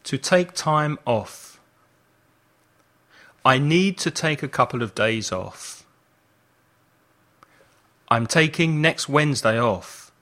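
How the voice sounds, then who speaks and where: A man hosts a language lesson at a markedly slow pace. His delivery is didactic and deliberately enunciated, with each phrase set out for learners to repeat. His voice sits at a medium pitch for a man. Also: expressive, pushed and bright. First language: English. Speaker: English teacher and podcast host.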